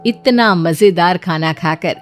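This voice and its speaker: A woman's voice: on the low side, takes it slowly, faint music behind. A woman storyteller reading scripted narration for a moral bedtime story.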